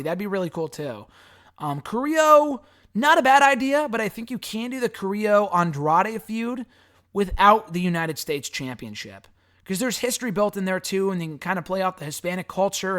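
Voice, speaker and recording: A man with a hoarse, raspy voice hosting a wrestling show, answering fan questions in a rambling, frank and casual way. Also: high voice, fairly bright tone. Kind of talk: casual solo commentary.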